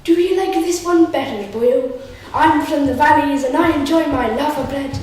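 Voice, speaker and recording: A woman's voice: sits high, moderate pace, a little mellow, a little hiss or hum, natural voice. A woman voicing a magical creation that speaks in a cheery South Wales valleys accent. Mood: cheery, boastful. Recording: very echoey room, distant mic.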